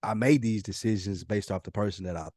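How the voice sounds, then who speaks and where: Deep, quick-talking man, probing. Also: darkish tone, no echo, clean studio sound. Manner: probing, joking, slangy. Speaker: podcast co-host. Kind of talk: casual conversation.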